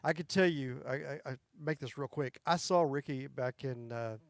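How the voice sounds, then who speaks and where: A man's voice: moderate pace, creaky, no room sound. A man, a country singer chatting in an interview and telling folksy stories with frequent chuckles. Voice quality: high tenor voice. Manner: folksy storyteller, chuckles often. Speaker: country music singer and guest. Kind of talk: casual interview chat.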